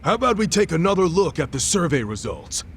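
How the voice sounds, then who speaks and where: Lively, high-pitched news presenter. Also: male voice, a little fast, a little hiss or hum. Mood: upbeat, formal. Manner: polite, formal, presenter-like. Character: brisk, deferential male television news interviewer. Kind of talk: broadcast presenting in a game cutscene.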